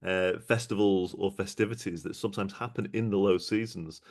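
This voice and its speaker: A man's voice: low-pitched, steady tone, brisk, darkish tone. A man hosting a travel podcast, asking long, rambling questions in a friendly way. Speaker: podcast host. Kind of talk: conversational podcast interview.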